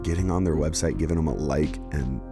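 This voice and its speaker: A man hosting and introducing an interview for his church community, talking earnestly with lots of fillers and quick asides. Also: rumbling low, over music. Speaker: pastor and host.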